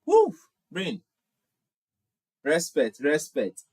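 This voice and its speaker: A man's voice: fairly high pitch, huge pitch swings, very slow, darkish tone, slightly rough, no room sound, studio quality. A man in his twenties from Ghana with a Ghanaian accent, hosting a casual livestream, reading viewer comments aloud and answering them in a friendly way, often repeating himself. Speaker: livestream host.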